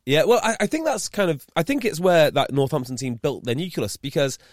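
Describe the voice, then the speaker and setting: A young man from North Wales hosting an interview, chatty and quick to stumble over his words, his pitch jumping up when he gets going. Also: dry recording, studio quality. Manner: chatty, stumbles and restarts, lively rises in pitch. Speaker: podcast host. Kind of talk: casual interview chat.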